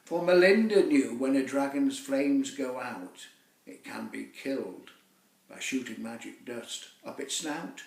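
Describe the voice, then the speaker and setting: A man reading a rhymed children's story poem aloud with theatrical, deliberate phrasing. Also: medium pitch, creaky. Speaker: poet reading his own children's poem. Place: echoey room, away from the mic. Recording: roomy echo, distant microphone.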